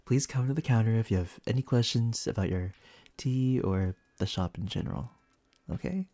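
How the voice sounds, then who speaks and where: A man's voice: mid-range voice, normal speed, warm tone, lightly acted. A young man playing a flustered, protective barista who is secretly a time agent, in an ASMR sci-fi roleplay, speaking with stammers. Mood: flustered, friendly. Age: young adult. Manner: stammering, breathy sighs and nervous laughs. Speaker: roleplay actor.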